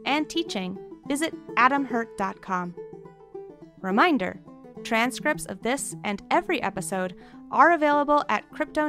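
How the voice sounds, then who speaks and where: A woman announcer reading scripted podcast credits. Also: fairly high pitch. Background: plucked acoustic string music.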